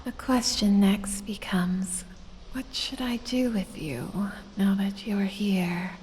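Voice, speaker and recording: A woman voicing an evil, seductive lamia toying with her prey in a breathy, sultry, gloating ASMR roleplay whisper. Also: mid-range voice, darkish tone, natural voice. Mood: sweetly possessive. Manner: slow, sultry, drawn-out, gloating purr. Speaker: audio roleplay performer. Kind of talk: ASMR roleplay, addressing the listener. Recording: long cave-like reverb, faint background noise.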